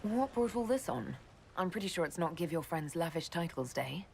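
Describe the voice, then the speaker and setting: Game role: composed female leader, slightly low pitch, animated, teasing. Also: normal speed, creaky, a little hiss or hum. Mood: playful. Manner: teasing, poised. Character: playful, composed heroine leading an inquisition. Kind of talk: video game voice acting.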